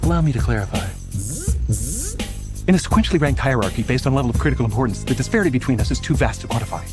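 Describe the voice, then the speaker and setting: Gravelly pompous villain doctor played by a man. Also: medium pitch, expressive, racing, over music, lightly acted. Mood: condescending. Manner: pompous, over-enunciated, theatrical. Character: pompous, condescending male villain doctor in a film.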